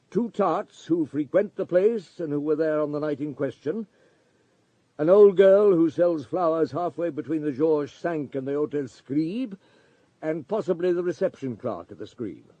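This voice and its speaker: An actor playing a calm, persistent police superintendent in a radio drama, courteous but firmly authoritative. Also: male voice, on the high side, no room sound, dull audio, close to an everyday voice.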